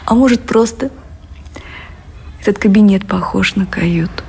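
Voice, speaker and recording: An actress playing an anxious, devoted gentlewoman in love, speaking earnestly in refined, wistful radio drama style. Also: medium pitch, unhurried, gravelly. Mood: tender, wistful. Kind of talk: Russian radio drama acting.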